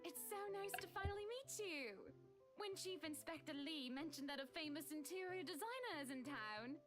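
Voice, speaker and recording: High-pitched, gushing society lady. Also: animated, moderate pace, a bit bright, soft background music. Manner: effusive, polite. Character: gushing, eager wealthy society lady client. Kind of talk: visual novel voice acting.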